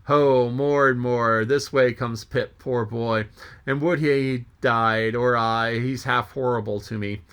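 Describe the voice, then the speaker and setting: A man's voice: mid-range voice, steady tone, slow, fairly bright tone, smooth. A man reading aloud as a jolly, joking sea mate who rambles and exclaims to himself. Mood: musing.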